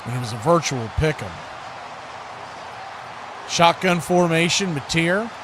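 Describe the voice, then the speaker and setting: A high-pitched American male voice delivers live football play-by-play. He moves between short, clipped present-tense calls and conversational asides. A clear layer of background noise sits behind the voice throughout. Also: animated, unhurried. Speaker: play-by-play sports commentator.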